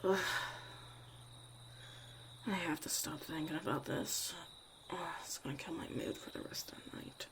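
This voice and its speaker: A woman's voice: low voice, normal speed, pauses often, noisy background. A woman acting a tough but caring witch mother in an ASMR roleplay, muttering and often dropping to a whisper. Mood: exasperated, muttering.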